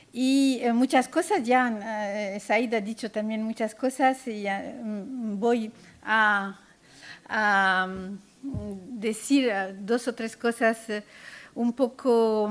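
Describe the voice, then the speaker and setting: A woman opening a conference talk, hesitant and full of pauses. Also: fairly high pitch. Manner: hesitant, many fillers. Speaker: panel speaker.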